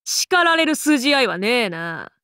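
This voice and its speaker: A woman voicing a brash, rough but caring tomboy warrior in an anime game, with blunt, cocky, masculine speech. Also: on the high side, sing-song, unhurried, pushed and bright, no echo, clean studio sound, full character voice. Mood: cocky, defensive. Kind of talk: Japanese anime game character lines.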